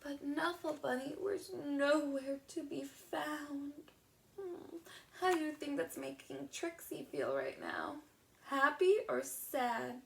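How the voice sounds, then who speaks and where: A woman reading a picture book aloud to young children in an expressive teacher's manner, drawing words out. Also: high voice, takes it slowly, vocal fry, slight background noise. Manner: expressive, asks the listener questions, gasps, draws words out.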